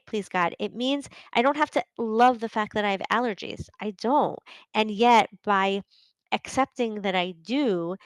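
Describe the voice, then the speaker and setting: A woman's voice: on the high side, no room sound, low-quality recording. A middle-aged woman hosting a solo podcast, talking earnestly and conversationally, often checking in with "right?". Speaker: podcast host and coach.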